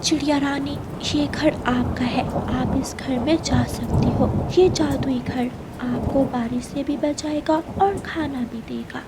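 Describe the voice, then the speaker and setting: A woman playing a benevolent cartoon fairy with a very high voice. She speaks graciously and reassures whoever listens. Loud storm noise is heard behind her. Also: steady tone, a little slow, few pauses. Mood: benevolent, reassuring. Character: kind, beautiful forest fairy, benevolent helper. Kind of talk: Hindi children's cartoon story acting.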